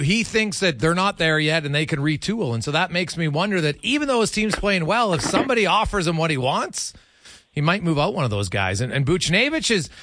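Animated, bright-voiced man talking hockey. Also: fairly high pitch, no echo, studio quality. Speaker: sports analyst guest. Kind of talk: unscripted hockey talk.

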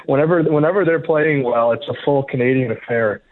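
A man giving measured, explanatory opinions as a golf journalist in a conversational radio interview. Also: mid-range voice. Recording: thin, tinny phone-like connection.

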